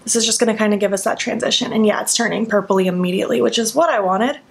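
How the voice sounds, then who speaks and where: A young woman in a casual makeup tutorial, talking softly with a sleepy early-morning voice and narrating each step as she works. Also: medium pitch, fast talker, creaky, breathy edge. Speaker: beauty vlogger. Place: at home.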